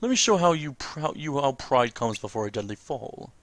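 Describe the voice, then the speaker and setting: A man's voice: mid-range voice, very animated, moderate pace, fairly bright tone, no echo. A man voicing stern, haughty fantasy warriors from a game, reading their dialogue aloud in a declamatory, confrontational way. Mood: stern, challenging.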